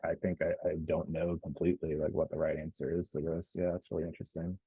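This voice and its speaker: A man answering questions as a guest in a casual online panel, relaxed and agreeable, with loose phrasing. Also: very deep voice, fast, slightly rough, no echo.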